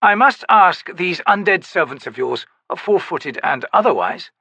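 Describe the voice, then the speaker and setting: A man's voice: sits high, very animated, measured pace, creaky, sharp tone, slightly rough, no echo, pitched above the performer's own voice, exaggerated voice. A man voicing a suave, cold bearded male sorcerer with a strange foreign accent, speaking in polished, courtly phrases. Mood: cool polite.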